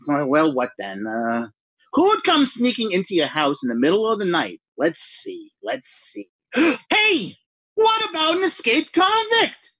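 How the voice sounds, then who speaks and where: A man's voice: high voice, huge pitch swings, slow pace, a bit bright, husky, no echo, heavily acted. A man playing a jumpy writer alone at night, rambling and mocking himself in 1940s radio acting style. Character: jittery lone male writer talking to himself.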